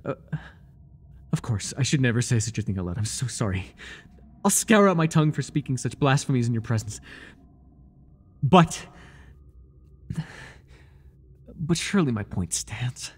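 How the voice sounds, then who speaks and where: A man playing an obsessive, jealous high priest in an ASMR roleplay, fawning over his god in grandiose, stammering, pleading speech. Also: lively intonation, normal speed, pauses often, slightly rough. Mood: frantic apology, then insistent, jealous. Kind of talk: ASMR roleplay monologue, dramatic acting.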